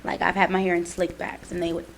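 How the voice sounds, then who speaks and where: A young woman co-hosting a student podcast in casual chat, emphatic and animated, full of "like" fillers. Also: lowish voice, fast, vocal fry. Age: young adult. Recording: faint background noise.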